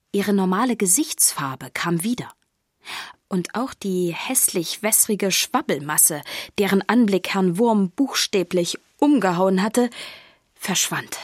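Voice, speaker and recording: A woman narrating a children's radio play in a wry, measured storybook style. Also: fairly low pitch, dry recording, clean studio sound.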